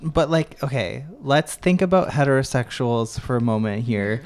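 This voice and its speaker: A soft-spoken man in a casual group chat, a bit whiny and playful in tone. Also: medium pitch, lively intonation, normal speed, clear, resonant tone.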